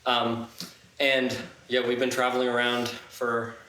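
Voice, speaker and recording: A young man from Ottawa hosting a casual podcast, playful and quick to laugh. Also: medium pitch, monotone, bright, forceful tone, reverberant, slight background noise, low-quality recording. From Ottawa, Canada. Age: young adult. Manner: playful, laughs often, slangy. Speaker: podcast host.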